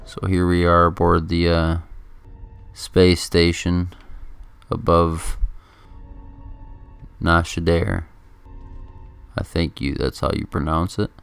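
A man giving casual, laid-back video game commentary in a halting, chatty way, close to the microphone. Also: low voice, flat delivery, gravelly, clean tone. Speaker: gameplay commentator. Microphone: microphone held very close. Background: game music.

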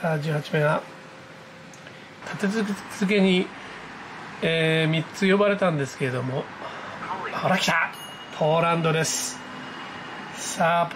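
A man giving casual, excited live commentary as a hobby vlogger, reacting aloud in exclamations as he goes. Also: a little high, slight background noise, rough recording. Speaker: amateur radio operator hosting his own video. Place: indoors at a desk, filming a computer screen.

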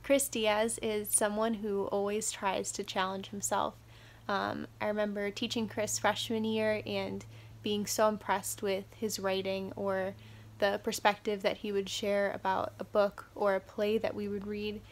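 Teacher lady talking sincere n steady, pretty even pitch, lots of ums, at home. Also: measured pace. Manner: earnest, steady, frequent "um"s. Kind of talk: recorded video tribute. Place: living room at home.

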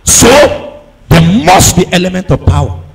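Male preacher, high voice with big swings in pitch; emphatic call-and-response sermon delivery, repetitive and exhorting. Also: very animated, unhurried, gravelly, crowd noise. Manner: emphatic, repeats phrases, fires rhetorical questions at the congregation; exhorting, breaking into shouts.

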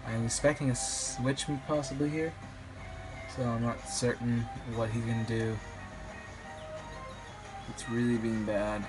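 A slightly raspy young man, a bit sick, commentating his online game battle in a rambling, hesitant way with many ums. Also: mid-range voice, flat delivery, a little slow, pauses often, poor audio. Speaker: gaming YouTuber. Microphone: phone filming a screen. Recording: music playing behind.

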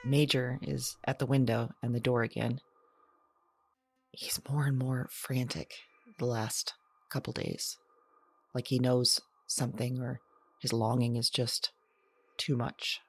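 A woman playing a lonely, haunted character who keeps an audio journal in a drama, with a halting, intimate, confessional delivery. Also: rumbling low, level tone, a little hiss or hum. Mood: weary, reflective. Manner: halting, hesitant, hushed reflective delivery.

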